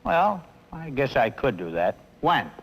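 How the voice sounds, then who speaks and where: A skeptical, impatient customer. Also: male voice, mid-range voice, sing-song, a little slow, a bit bright, heavily acted, poor audio. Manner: skeptical, grudging. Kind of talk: 1950s training film acting.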